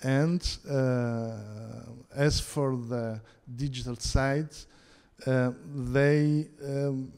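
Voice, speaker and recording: A man with an Italian accent giving a halting conference talk, full of pauses and restarts. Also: medium pitch, steady tone, a little mellow, clean tone. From Italy. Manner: hesitant, many fillers and restarts. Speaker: conference panel speaker. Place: theatre hall. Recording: long hall reverb.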